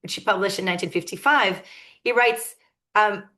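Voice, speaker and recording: A woman giving an academic lecture, thoughtful and often pausing to search for her words. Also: medium pitch, pushed and bright.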